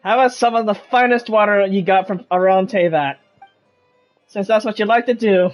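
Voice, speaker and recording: A woman's voice: medium pitch, normal speed. A woman giving casual, chatty, playful live commentary as she streams a game. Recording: dull, lo-fi mic. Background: game music.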